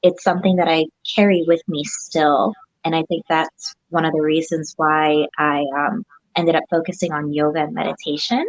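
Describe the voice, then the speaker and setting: A woman whose first language is Japanese, speaking low and soft-spoken as a podcast guest. She reflects hesitantly, with many pauses and fillers. The sound is dry and slightly dull. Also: little pitch movement, no echo. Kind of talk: casual interview.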